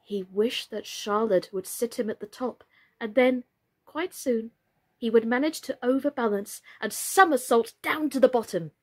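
A woman in her thirties reading a children's story expressively, in a measured and deliberate way. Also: a little high. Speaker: storyteller, actress. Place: small furnished room indoors.

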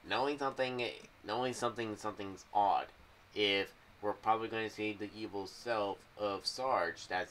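Low-voiced young man, halting commentary. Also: a bit bright. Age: young adult. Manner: halting, meandering phrasing. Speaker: video creator sharing fan theories. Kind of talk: unscripted rambling commentary. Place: small room at home. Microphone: boom-arm microphone with pop filter, headphones. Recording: clearly noisy home recording.

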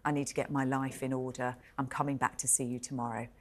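A British woman in her forties with a notably low voice. She speaks as a studio pundit, measured and articulate, leaning on key words as she reviews. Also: little pitch movement. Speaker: royal editor, studio pundit. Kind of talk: live broadcast review and commentary. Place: television studio.

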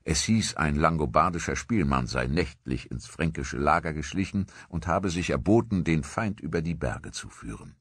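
Male documentary narrator, deep and husky, measured storyteller for scripted history. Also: lively intonation, fairly bright tone, dry recording.

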